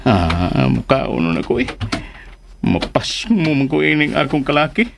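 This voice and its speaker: An actor with a Filipino accent playing a comic, anxious, lovestruck suitor talking to himself with exaggerated delivery in a radio drama. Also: male voice, mid-range voice, huge pitch swings, measured pace, creaky, noisy background, full character voice. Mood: hungry, grumbling.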